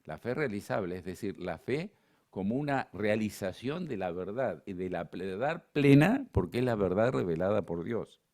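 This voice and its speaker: An older man with an Argentine accent and a low, deep voice, giving a lecture. He talks in a loose, conversational way, drifts into asides, and fills the gaps with little hesitations. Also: sing-song, moderate pace, darkish tone. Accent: Argentine Spanish, not Buenos Aires. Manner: digressive, conversational, with frequent fillers. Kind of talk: conference lecture.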